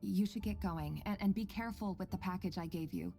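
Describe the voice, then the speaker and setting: Actress as a mellow, composed female researcher. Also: mid-range voice, vocal fry, faint music behind, lightly acted. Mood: gentle, guarded. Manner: measured, articulate, formal. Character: earnest, composed female researcher, quietly secretive and caring. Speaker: voice actor in a game story quest. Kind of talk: scripted game dialogue and log narration.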